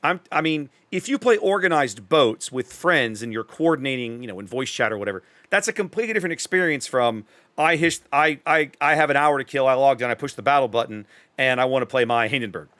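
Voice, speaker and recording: A man's voice: medium pitch, lively intonation, fairly quick, a bit bright, no echo. A man chatting casually with viewers while commentating on his own live gameplay, thinking aloud in an opinionated, conversational way. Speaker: game live streamer. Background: faint game music.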